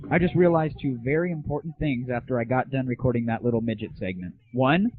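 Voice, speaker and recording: A man's voice: medium pitch, fast talker, soft background music. A man hosting a solo internet audio show in a casual monologue, crude, sarcastic and irreverent. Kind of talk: casual scripted monologue about games and the internet. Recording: muffled lo-fi 1990s internet audio, dry.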